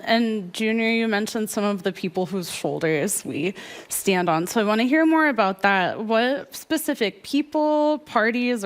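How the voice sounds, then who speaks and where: Woman moderator asking questions. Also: medium pitch. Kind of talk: panel moderating.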